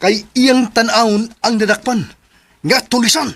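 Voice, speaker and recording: A man playing an excitable, anxious son in a Filipino radio drama, with a Cebuano accent. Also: very high-pitched, normal speed, full character voice. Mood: agitated.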